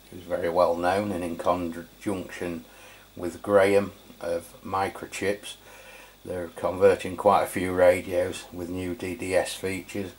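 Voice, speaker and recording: A man's voice: low voice, darkish tone. A man giving an informal, matter-of-fact product review as a channel host.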